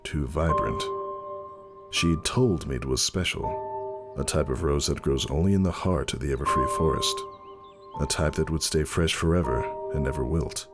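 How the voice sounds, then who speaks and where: A man giving a dramatic first-person story reading in a measured, wistful way. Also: a bass voice. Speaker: audiobook narrator. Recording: music behind the reading.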